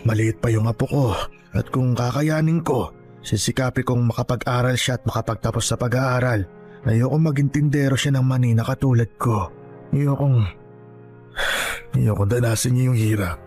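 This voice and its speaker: An actor playing a poor, weary elderly grandfather who sells peanuts, gentle and hesitant, with a Filipino accent, in a radio drama. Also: mid-range voice, moderate pace, soft background music, close to an everyday voice. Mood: sorrowful, resigned. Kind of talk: Tagalog radio drama acting.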